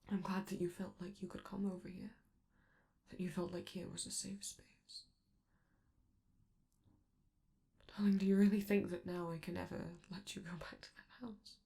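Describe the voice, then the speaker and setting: A woman playing a flamboyant, protective robot entertainer in an intimate ASMR comfort roleplay, speaking softly and theatrically with affection, hesitance and breathy drops into whispers. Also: on the low side, little pitch movement, brisk. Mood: tender, hesitant.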